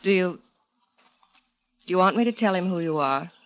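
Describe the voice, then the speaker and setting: A woman's voice: on the low side, expressive, normal speed, lightly acted. Woman acting guarded, restrained wife. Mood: wary, hesitant. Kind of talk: radio drama acting.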